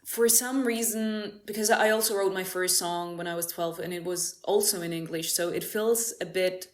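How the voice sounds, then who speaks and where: A woman's voice: on the low side, steady tone, moderate pace. A young woman from Cyprus, a musician chatting in an interview, laughing often and restarting her sentences. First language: Greek. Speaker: musician guest. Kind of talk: casual interview chat.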